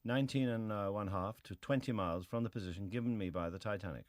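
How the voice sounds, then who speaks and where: An actor playing a sea captain who gives restrained, matter-of-fact testimony in a dramatized historical hearing. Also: male voice, on the low side, fast, no echo, studio quality, lightly acted. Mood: terse, restrained.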